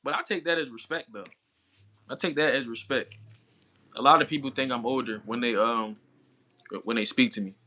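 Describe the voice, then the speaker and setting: Man, thirties, livestream host, casual slangy chat, bright voice. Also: mid-range voice, moderate pace, pauses often. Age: 30s. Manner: laid-back slangy talk, answering chat, laughing often. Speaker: livestream boxing commentator. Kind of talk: casual chat with live chat viewers.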